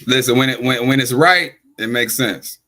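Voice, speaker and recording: A man's voice: medium pitch, moderate pace, pushed and bright. Rambling man in his forties. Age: 40s. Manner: rambling, full of fillers and "right?" tag questions. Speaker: podcast guest, rapper. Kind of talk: casual chat.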